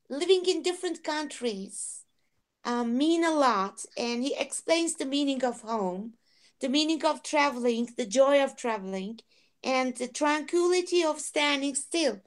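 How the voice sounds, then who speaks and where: A woman's voice: high-pitched, animated. A woman learning English summarizing a talk, choosing her words carefully in a discussion group. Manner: careful, deliberate speech of a non-native learner.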